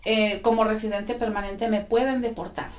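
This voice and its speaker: A woman's voice: mid-range voice, fairly even intonation, normal speed. A woman in her forties, an immigration legal representative, explains steps in a friendly way, often adding tag questions. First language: Spanish. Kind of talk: informative interview talk. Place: small office with bookshelves, indoors. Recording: echoey office room.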